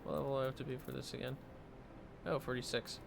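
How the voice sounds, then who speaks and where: A man's voice: mid-range voice, flat delivery, quick pace, creaky, noisy background. A man livestreaming a game, talking to himself in a playful, rambling, casual commentary. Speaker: livestreamer playing an online fantasy game.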